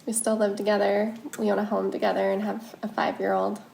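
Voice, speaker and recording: A woman's voice: medium pitch, flat delivery, brisk, gravelly, darkish tone, roomy sound, a little hiss or hum, rough recording. A woman of thirty, a podcast guest, talking candidly in casual conversation. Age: 30s.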